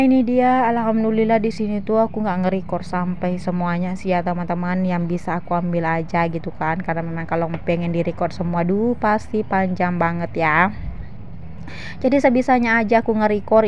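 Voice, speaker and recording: A chatty, giggly woman from South Sulawesi, Indonesia, doing a casual vlog voice-over to her viewers, with a slightly shrill edge. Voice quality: slightly shrill, by own account. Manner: chatty, giggly, frequent tag words. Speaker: vlogger. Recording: dull lo-fi voice-over with faint noise.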